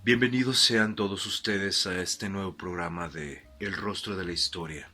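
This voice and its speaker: A man acts as a formal radio host in a fiction podcast, with a lowish, bright and forward voice. He interviews earnestly and often hesitates with filled pauses. Faint music plays behind him. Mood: ceremonious. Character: formal male history-programme host in a future republic.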